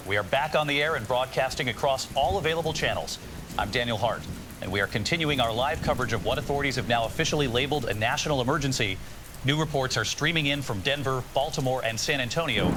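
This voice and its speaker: A man's voice: medium pitch, noisy background, lightly acted. A man playing a composed, grave news anchor delivering formal emergency coverage in an audio drama. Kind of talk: formal breaking-news broadcast.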